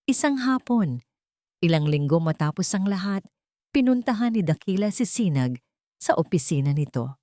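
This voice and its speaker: A woman's voice: on the low side, sing-song, moderate pace, mellow, no echo, studio quality. A woman reading a dramatic scripted story as a storyteller.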